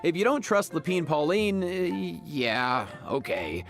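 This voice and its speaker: A man co-presenting a game preview program, mixing lively scripted explanation with friendly banter. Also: on the high side, takes it slowly, soft background music.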